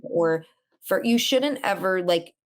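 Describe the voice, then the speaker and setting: A woman's voice: lowish voice, a little slow. A woman with a New York accent, chatting emphatically as a guest on a podcast over a video call. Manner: chatty, emphatic, animated storyteller. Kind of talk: casual podcast chat.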